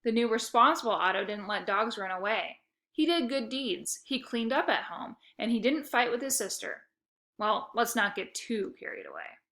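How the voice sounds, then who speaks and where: A woman reading a children's chapter book aloud in a lively storytelling manner. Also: fairly high pitch, moderate pace. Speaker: reader.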